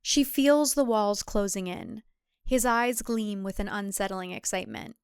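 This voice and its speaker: A woman's voice: mid-range voice, unhurried, clean tone, no room sound, clean studio sound. A woman reading scripted thriller fiction as a dramatic storyteller. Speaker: author and narrator.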